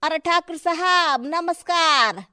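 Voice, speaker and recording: A woman's voice: very high voice, slow, sharp tone, no echo, clean studio sound, full character voice. A woman voicing an anxious, deferential townsman who pleads for a favour in a Hindi cartoon. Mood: anxious, pleading.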